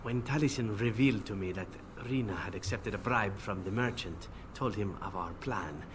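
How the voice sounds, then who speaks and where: A man playing a weary, guilt-ridden elven assassin in a video game, speaking in a measured, solemn, confessional way. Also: lowish voice, background noise, close to an everyday voice. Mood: somber, bitter. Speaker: game companion character. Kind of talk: dramatic video game voice acting.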